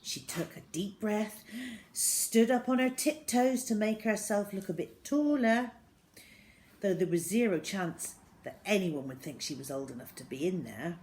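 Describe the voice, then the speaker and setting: A woman narrates a children's book aloud at a slow, deliberate pace. Her pitch sits in the middle range, and she reads expressively, as a storyteller does. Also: lively intonation, darkish tone, rough recording. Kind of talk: expressive children's audiobook-style reading.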